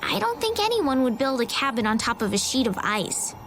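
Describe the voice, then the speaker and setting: An earnest, gentle young game heroine. Her high-pitched speech is polite and carefully phrased, with faint music underneath. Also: big pitch swings, fairly quick. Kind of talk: game voice acting.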